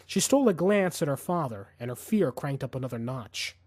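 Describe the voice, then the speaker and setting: A man's voice: mid-range voice, expressive, fairly quick, darkish tone, dry recording. A man narrating fiction as a dramatic audiobook reader, intense and suspenseful.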